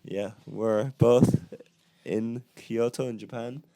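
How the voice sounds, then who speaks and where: A young man chatting casually as a podcast co-host, hoarse as he gets over a cold, with frequent fillers and laughs. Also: medium pitch, fairly even intonation, slow, mellow. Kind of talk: casual chat.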